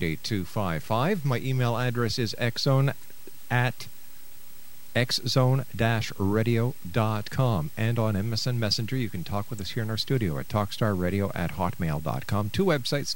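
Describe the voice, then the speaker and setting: An older man, hosting a live talk radio show in an announcer's style. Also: on the low side.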